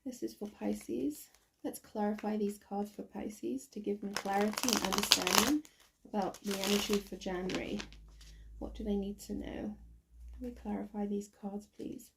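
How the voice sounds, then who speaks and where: A woman with a croaky voice doing a casual tarot reading to camera, thinking aloud with many ums and restarts. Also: mid-range voice, steady tone, moderate pace, background noise. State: croaky, recovering from bronchitis. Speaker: tarot reader.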